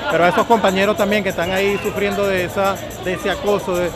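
A man's voice: sits high, flat delivery, quick pace, loud music behind the voice, a loud crowd. A man giving a rally speech into a handheld microphone, emphatic, tagging his points with "right?" and filler words. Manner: emphatic, with filler "¿verdad?" and "este". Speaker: union leader at a rally. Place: city street rally, outdoors.

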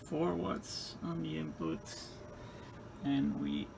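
Male hobbyist demonstrator, Dutch accent, heavy vocal fry, hesitant off-the-cuff delivery, noisy recording. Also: on the high side, wildly swooping pitch. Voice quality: gravelly. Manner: halting, hesitant phrasing with repeated words. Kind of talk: unscripted equipment demonstration. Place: indoor electronics workbench. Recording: clearly noisy room recording.

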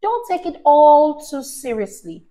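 A young woman hosts a children's story time in a high voice that rises and falls freely. She reads slowly with deliberate, teacher-like emphasis and puts questions to the young listener. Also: sing-song, dark tone. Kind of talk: animated read-aloud to a child. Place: small indoor room, on a sofa. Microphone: clip-on lapel mic.

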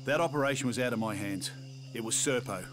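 An actor voicing an earnest, duty-bound army colonel in a video game, measured and insistent. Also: male voice, medium pitch, natural voice. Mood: earnest, pleading. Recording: outdoor insects and background noise.

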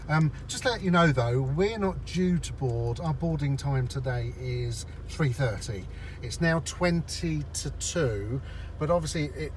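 A man's voice: medium pitch, darkish tone. A man vlogging while he drives, chatting to viewers and explaining each step as he goes. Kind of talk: casual narration while driving. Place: inside a car in traffic. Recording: in-car recording with road noise.

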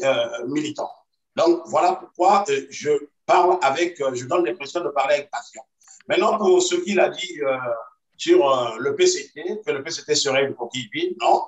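Man on a distant echoey video call. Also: a little high. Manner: measured, hesitant with many fillers, insistent. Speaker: party activist guest. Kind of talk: political debate on video call. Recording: roomy echo, distant from mic.

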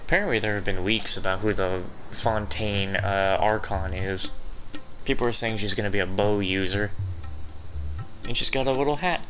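A man's voice: lowish voice, steady tone, moderate pace, bright, forceful tone, faint music behind. A man doing casual, informal video game commentary and reading dialogue choices aloud.

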